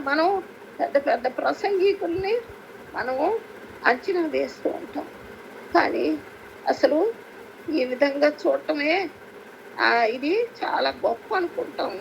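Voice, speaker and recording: High-pitched Andhra Telugu woman preaching. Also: creaky. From Andhra Pradesh, India. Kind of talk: sermon for a prayer meeting. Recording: faintly noisy conference call recording.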